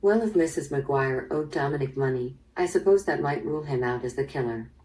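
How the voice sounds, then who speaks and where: A woman playing a probing, businesslike police detective in an interactive radio drama, measured in her questioning. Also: very deep voice, lively intonation, a little mellow. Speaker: voice actor in interactive audio drama. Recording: played through speaker, slightly distant.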